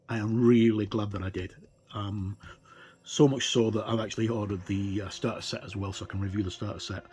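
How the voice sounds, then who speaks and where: A middle-aged or older man giving a casual, unscripted look through a book as a tabletop game reviewer, in a rambling, hesitant way with many pauses. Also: fairly low pitch, darkish tone. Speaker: reviewer and presenter of tabletop games. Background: ambient music with wind sounds.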